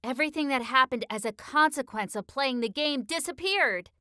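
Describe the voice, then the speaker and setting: Guy doing a high breathy teen girl for an audiobook, awestruck and emotional, kinda bright and dry. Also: lively intonation, normal speed, dry recording, heavily acted. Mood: jubilant. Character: sixteen-year-old girl, shaken and breathlessly awestruck.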